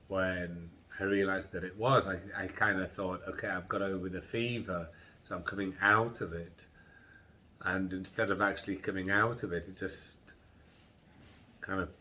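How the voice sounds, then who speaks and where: Slow, tired, congested man. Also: sits low, pushed and bright. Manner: slow, halting, tired recounting. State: congested, groggy chest, sore throat. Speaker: vlogger. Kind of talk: personal health vlog.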